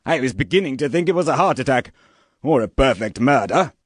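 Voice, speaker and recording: A man's voice: mid-range voice, animated, fairly quick, no room sound, clean studio sound, natural voice. A man playing a formal, methodical police sergeant in a radio murder mystery, with an officious manner. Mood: accusing. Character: brisk, methodical police sergeant investigating a death.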